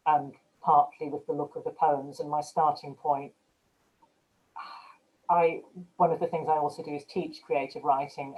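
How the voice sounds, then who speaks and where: Female translator and writing teacher, husky tone, talking through personal experience on a panel. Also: sits low, lo-fi sound. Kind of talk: panel discussion, personal explanation.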